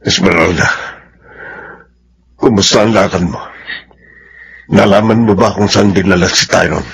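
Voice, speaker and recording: An actor with a Filipino accent playing an anguished, halting husband and father begging forgiveness in a radio drama. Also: sits low, steady tone, creaky, slightly rough, full character voice. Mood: anxious.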